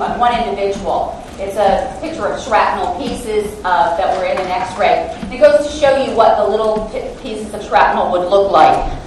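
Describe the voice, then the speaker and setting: A woman's voice: mid-range voice, a little hiss or hum. A woman scientist giving an academic lecture into a handheld microphone, self-deprecating and hesitant with many fillers. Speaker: guest lecturer, lab scientist. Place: large lecture hall. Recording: echoey hall, mic far off.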